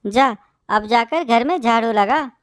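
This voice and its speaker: A woman's voice: fairly high pitch, unhurried, clean tone, dry recording, studio quality, natural voice. A woman voicing a cruel, scolding bird mother in a moral-story cartoon. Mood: scolding, dismissive. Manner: scolding, harsh.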